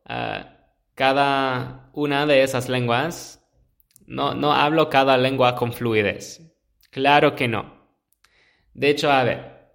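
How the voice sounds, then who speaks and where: Slow, hesitant young man teaching. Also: medium pitch. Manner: slow, hesitant, pauses to search for words. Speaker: podcast host. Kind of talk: solo podcast explaining to learners.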